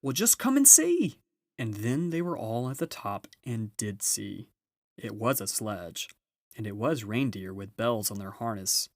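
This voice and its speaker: Male storybook narrator, fairly deep, reading to children in a dry, echo-free space. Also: measured pace. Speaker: audiobook reader.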